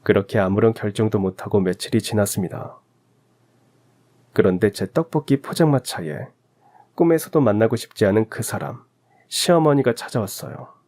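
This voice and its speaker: A man's voice: on the low side, normal speed, warm tone. A man reading a scripted first-person story as the narrator of a radio drama. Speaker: narrator of audio stories.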